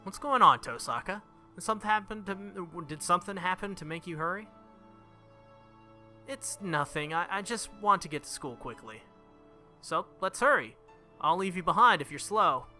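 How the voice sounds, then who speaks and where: A man voicing a proud but secretly caring teenage schoolgirl from a visual novel, in a brisk way. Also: high voice, lively intonation, sharp tone, voice pushed up, exaggerated voice. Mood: hurried, flustered. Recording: game music behind the voice.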